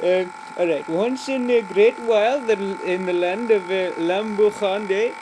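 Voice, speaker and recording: A man's voice: sits high, a little slow, noisy background. A man voicing a cheerful, rambling joke-teller, broken grammar and giggly asides. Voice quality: broken grammar, many fillers and restarts, giggly. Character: rambling, cheerful adult man telling pointless jokes. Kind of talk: comic character monologue.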